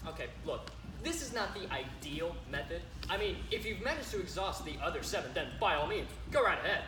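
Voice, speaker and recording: Woman playing an animated stage presenter, echoey, noisy. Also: fairly low pitch, a little fast. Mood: emphatic, cautioning. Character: emphatic presenter of zombie survival methods. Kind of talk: amateur stage acting. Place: indoor stage performance, recorded live. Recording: echoey noisy hall recording of stage play.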